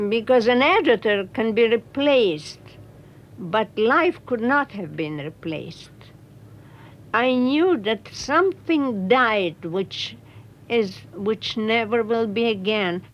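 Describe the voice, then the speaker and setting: Woman, really slow and solemn, highish voice, interview, kinda muffled. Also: lively intonation. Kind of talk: reflective documentary interview. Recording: slightly dull recording.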